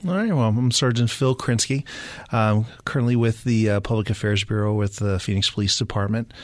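A middle-aged American man, raised in Southern California with Spanish as his first language, speaks in a lowish voice with a close, dry studio sound. He is a police sergeant being interviewed, and he talks informally with halting phrasing. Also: no room sound. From Southern California, United States. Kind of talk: casual conversational interview.

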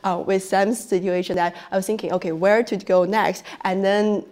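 A woman's voice: mid-range voice, steady tone, moderate pace, a little mellow. A woman from China with a Chinese accent, whose first language is Chinese, speaking as a researcher guest in a podcast interview with many fillers and restarts.

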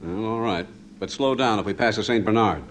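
An actor playing a teasing, sarcastic, wisecracking family friend in 1950s radio comedy style. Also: male voice, fairly low pitch, brisk, close to an everyday voice. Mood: wry. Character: wisecracking, sardonic male family friend, fond of drink. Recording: very noisy old 1950s radio recording.

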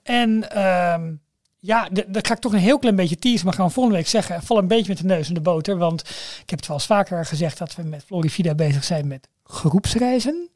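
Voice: medium pitch, fairly quick, a little mellow, dry recording, clean studio sound. A Dutch podcast co-host chatting casually and enthusiastically with friends, with a teasing streak. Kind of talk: casual chat among friends.

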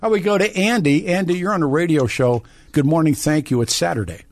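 A man's voice: on the high side, brisk. A man with an American accent hosting a conversational radio call-in show, often repeating and restarting his phrases. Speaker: radio talk show host. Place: radio studio.

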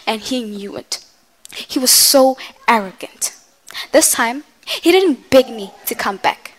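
Casting: young woman playing a hurt but proud mother, higher-pitched voice, dramatic stage monologue to grown children. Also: very animated, slow pace, fairly bright tone, full character voice, poor audio. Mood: hurt, defiant. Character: wronged, defiant mother venting to her grown children.

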